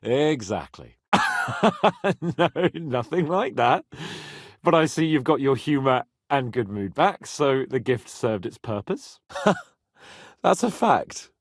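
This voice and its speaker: A young medieval lord for a video game. He is imperious and quick-tempered, yet playful and teasing. His pitch swings widely in a very dramatic delivery, and the recording is dry and close. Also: wildly swooping pitch, slightly rough, no room sound, full character voice. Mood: calm, wry. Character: haughty young medieval nobleman, playful, hot-tempered, fond of companion. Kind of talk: video game character acting.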